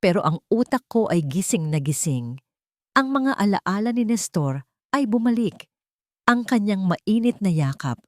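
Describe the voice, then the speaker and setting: A woman narrating a dramatic first-person story in an emotive, sorrowful, measured way. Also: medium pitch, sing-song, no echo, clean studio sound.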